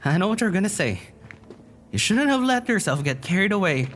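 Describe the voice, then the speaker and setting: Anxious poetic being, medium-pitched, stammering, earnest, audio drama, faint music bed. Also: very animated, brisk, a bit bright. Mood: anxious, self-blaming. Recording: music underneath.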